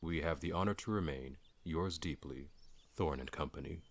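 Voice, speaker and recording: A man reading as a formal, pompous dwarf letter-writer, measured and dry, in a storybook. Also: a bass voice. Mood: formal, solemn.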